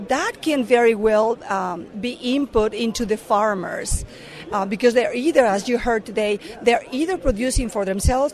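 A woman's voice: fairly high pitch, darkish tone. A middle-aged woman from Mexico with a Mexican accent, a corporate affairs director giving earnest answers in an interview, with many fillers and restarts.

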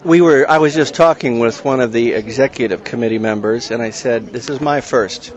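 A man's voice: medium pitch, fairly quick, highs cut off. A man interviewing a guest outdoors, friendly and agreeing. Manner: friendly, agreeing, many "mm-hmm"s. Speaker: interviewer, agricultural journalist. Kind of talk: conversational interview.

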